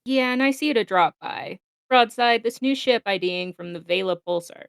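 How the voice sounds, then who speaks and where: A woman's voice: on the high side, moderate pace, gravelly, clear, resonant tone, no room sound, clean studio sound. A woman voicing a cheeky, casual robot soldier in an amateur comic dub, teasing and informal. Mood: breezy.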